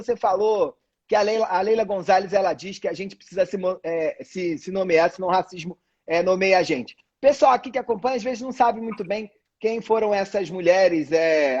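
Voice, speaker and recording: High-pitched husky Brazilian man hosting. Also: a little mellow, lo-fi sound. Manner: effusive, informal, repeats phrases. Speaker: host. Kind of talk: casual live chat interview. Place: online live video call.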